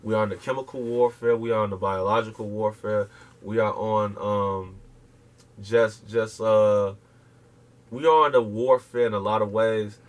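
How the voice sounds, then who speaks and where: A man's voice: lowish voice, fairly even intonation, normal speed. A man speaking, lecturing emphatically and profanely as an interview guest, firing off rhetorical questions. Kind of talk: impassioned casual interview talk.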